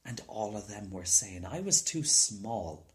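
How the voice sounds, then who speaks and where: A small, determined boy, as a male storybook reader puts him on, proud and earnest. His pitch leaps about with lively swings. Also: measured pace. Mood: proud, defiant. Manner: earnest, folksy phrasing.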